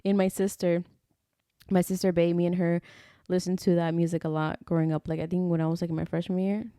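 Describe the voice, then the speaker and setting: A woman's voice: on the low side, level tone, fairly quick, warm tone, smooth, no echo, clean studio sound. A young woman co-hosting a podcast, chatting casually and mixing Spanish into her English, with lots of "like" fillers. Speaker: podcast co-host. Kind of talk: casual chat.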